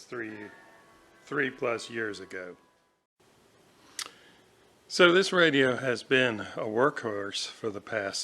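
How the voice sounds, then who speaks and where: Slow, halting man over clear background noise. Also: on the low side, pushed and bright. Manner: halting, hesitant, broken into short phrases. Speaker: hobbyist radio restorer presenting own video. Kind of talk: unscripted workbench vlog narration.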